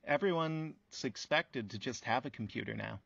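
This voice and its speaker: A man's voice: medium pitch, normal speed, vocal fry, fairly bright tone, slightly rough, dry recording. A man playing a thoughtful, affable interviewer who chats reflectively in a casual radio play. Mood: musing. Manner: reflective, conversational, agreeable. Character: thoughtful, affable male interviewer of talking buildings. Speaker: interview host. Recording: dull, dry lo-fi audio.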